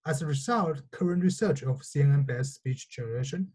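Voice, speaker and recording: A man whose first language is Mandarin, giving a measured, explanatory technical lecture. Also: mid-range voice, darkish tone, husky.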